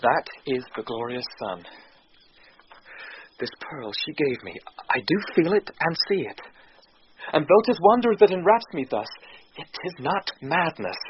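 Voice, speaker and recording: Confused lovestruck young gent, shakespeare verse, bit high and very animated, hissy radio play. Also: male voice. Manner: wondering, articulate soliloquy. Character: bewildered young gentleman, wondering and smitten, reasoning with himself. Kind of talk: classical radio drama acting, Shakespearean verse. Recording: dull lo-fi radio recording, clear noise.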